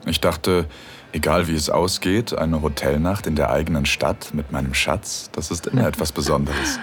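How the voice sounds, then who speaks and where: A man playing a relaxed, teasing, seductively commanding husband in an erotic audio drama. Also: a bass voice, moderate pace, slight background noise. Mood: relaxed, agreeable.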